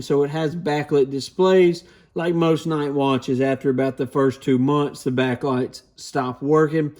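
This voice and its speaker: Guy with a kinda higher voice doing a casual gear walkthrough, informal, explains stuff. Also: darkish tone. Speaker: host of a CB radio channel. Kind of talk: casual product overview to camera.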